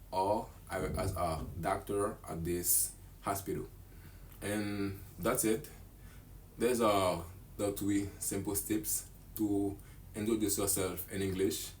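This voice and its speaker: Deep-voiced young man teaching English slowly. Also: a little hiss or hum. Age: young adult. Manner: slow, careful, deliberate delivery. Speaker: English teacher, channel host. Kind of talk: scripted beginner English lesson. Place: small room indoors.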